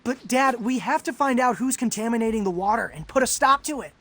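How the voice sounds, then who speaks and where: An actor playing an earnest, determined teenage mutant turtle boy in an animated video game. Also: very high-pitched, fairly quick, exaggerated voice. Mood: eager, determined.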